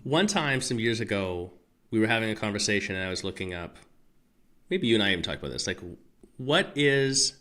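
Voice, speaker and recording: A man talking casually on a podcast, animated and rambling, with restarts and the odd swear. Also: fairly low pitch, a little fast. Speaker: podcast guest. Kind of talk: casual conversation.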